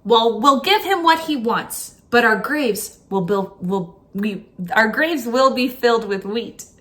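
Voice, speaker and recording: A woman's voice: a little high, animated, unhurried, fairly bright tone. A woman voicing a clever, determined village girl in a children's story, earnest and exclamatory. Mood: excited, resolute.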